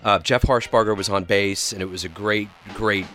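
Eager low-voiced male radio host. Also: steady tone, normal speed, pushed and bright, faint music behind. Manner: enthusiastic, rambling with restarts. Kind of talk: casual radio chat.

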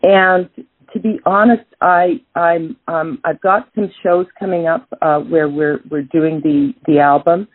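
Canadian jazz singer lady, middle-aged, husky, lots of ums and restarts, phone-ish audio. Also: lowish voice, steady tone, a little slow, dry recording. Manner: thoughtful, many restarts and fillers. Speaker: jazz singer, interview guest. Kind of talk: casual interview answers. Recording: tinny phone-like line.

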